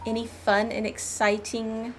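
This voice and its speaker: A woman's voice: a little high, completely flat delivery, a little slow. A woman game streamer chatting casually and playfully with her live audience in an informal way. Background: game music.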